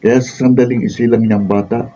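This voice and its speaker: Man acting steadfast young filipino husband in a radio drama, very bright forceful voice, a bit off mic. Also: medium pitch, fairly even intonation, a little fast, distant mic, close to an everyday voice, low-quality recording. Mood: firm. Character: earnest, steadfast young husband defending his marriage. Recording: off-mic, faint background noise.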